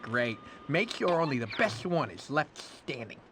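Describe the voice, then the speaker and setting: A man's voice: medium pitch, big pitch swings, sharp tone, slight background noise. A man voicing a slick, frantic cartoon casting director with a theatrical, easily exasperated manner, for a video game. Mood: businesslike, unimpressed. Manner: theatrical, exasperated, fast-switching boss.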